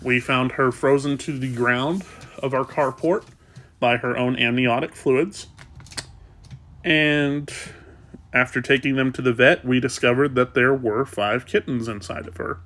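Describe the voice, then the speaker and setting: Casual vlogger, male, congested and sniffly, soft rambling delivery, mid pitch. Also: fairly even intonation, unhurried. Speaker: kitten rescuer. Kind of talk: casual vlog talk.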